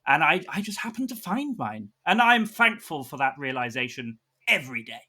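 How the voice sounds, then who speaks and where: Man acting a high, bright, rueful actor. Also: sing-song, slightly rough, full character voice. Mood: brave-faced, rueful. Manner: halting, repetitive, insistently accepting. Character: earnestly self-deprecating male actor in an interview. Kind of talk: improvised mockumentary interview.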